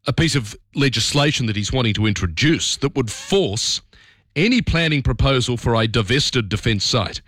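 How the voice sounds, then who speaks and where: A middle-aged Australian man hosting talk radio, brisk and opinionated in a conversational way. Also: mid-range voice, a bit bright, dry recording. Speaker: radio host. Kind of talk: talk radio commentary and interview.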